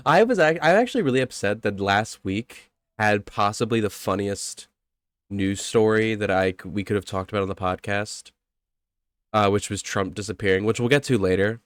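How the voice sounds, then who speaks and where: Young man, stuffy congested nose, low voice, dry close sound, rambling casual podcast chat. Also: normal speed, no echo. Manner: rambling, self-correcting, laughs often. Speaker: podcast co-host.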